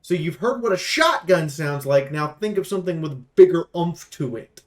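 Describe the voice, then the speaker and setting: A man's voice: a little high, expressive, moderate pace. A man giving casual, opinionated game preview commentary in an emphatic, rambling, sweary way. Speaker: YouTube host and game reviewer.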